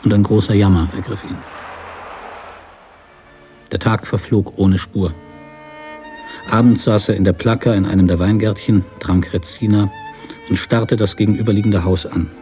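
A man with a low-pitched, dark and relaxed voice reads literary prose as a radio drama narrator. His delivery is measured and story-like. Faint music sits behind the voice.

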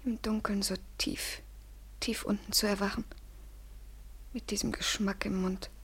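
Radio drama, 1970s German: vulnerable, anxious young female lover, slow, halting delivery, husky whispery edge. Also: medium pitch, flat delivery, fairly bright tone, heavily acted. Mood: desolate. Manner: breathy, halting, often falling to a whisper. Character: vulnerable, anxious young woman in a doomed love affair.

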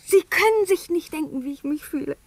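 Young aristocratic girl, very high voice, excitable, impatient, insistent, radio drama. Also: a little fast, no echo. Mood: elated, confiding. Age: young adult. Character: excitable, impatient young noblewoman, spoiled and eager.

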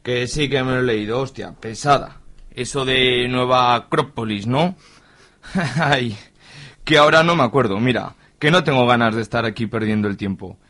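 A grumbling, stressed middle-aged family man with a Spanish accent from Spain, played by a man in a radio soap. Impatient and fed up. The voice sits mid-pitch. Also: moderate pace, fairly bright tone, lightly acted. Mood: irritated. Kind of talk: amateur radio drama acting.

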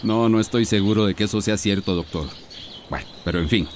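A man acts a miserly, fussy shopkeeper in a radio soap. The voice is lowish and hesitant, grudging in manner, over faint outdoor birdsong. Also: brisk, darkish tone, slight background noise, natural voice. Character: grudging, stingy male merchant. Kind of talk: radio soap opera acting.

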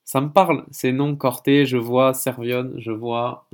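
A man's voice: medium pitch, fairly even intonation, unhurried. A man hosting a casual conversational interview, speaking in a rambling, hesitant way. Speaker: podcast host interviewing a guest.

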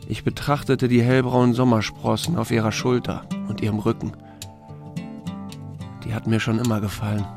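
Actor as laconic male sheriff with a creaky voice. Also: mid-range voice, level tone, normal speed, over music, close to an everyday voice. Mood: reflective, matter-of-fact. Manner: terse, understated. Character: small-town sheriff, married man, reserved and laconic. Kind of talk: German radio drama, first-person narration and dialogue.

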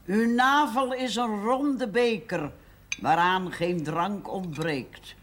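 A woman's voice: medium pitch, slow. An actress playing a pious, sardonic spinster aunt in her eighties in a television drama, solemnly declaiming like someone reciting scripture. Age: 80s. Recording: faintly noisy 1970s television soundtrack.

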